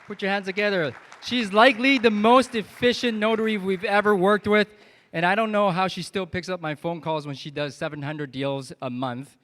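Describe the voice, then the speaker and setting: A man's voice: high-pitched, steady tone, moderate pace, a bit bright. A man emceeing a live event, upbeat as he introduces the next speaker. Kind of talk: stage introductions. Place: event hall with audience. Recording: hall reverb, faint crowd.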